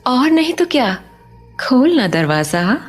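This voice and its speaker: A woman's voice: high voice, very animated, takes it slowly, slightly rough, soft background music, heavily acted. A woman playing a seductive undead mother in a horror audio drama, coaxing and teasing with sweet insistence. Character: seductive undead mother luring victims to open the door.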